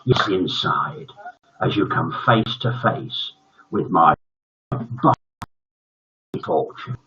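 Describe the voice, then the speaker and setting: A man voicing a ghoulish, sadistic schoolteacher in a storybook, with slow, drawn-out menace and muttered growls. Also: sits low, pushed and bright, heavily acted. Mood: gloating. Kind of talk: storybook character reading. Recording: dull lo-fi livestream audio.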